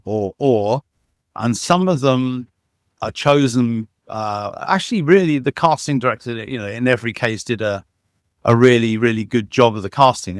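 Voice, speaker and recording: A man's voice: mid-range voice, smooth, no echo, clean studio sound. A man who works as a sound engineer, talking as an interview guest, telling enthusiastic, rambling stories full of restarts and fillers. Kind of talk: casual interview conversation.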